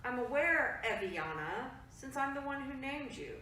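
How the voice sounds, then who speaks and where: A woman's voice: high voice, sing-song, moderate pace, sharp tone, a little hiss or hum, exaggerated voice. A woman voicing a reproachful middle-aged mother who scolds her grown daughter, in a virtual staged reading recorded in an echoey room. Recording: echoey room, mic far off.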